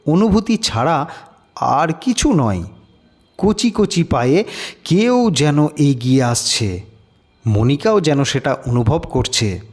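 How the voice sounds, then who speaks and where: A man narrating a scripted horror story in a dramatic, measured, suspenseful way. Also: on the high side, animated, a little mellow.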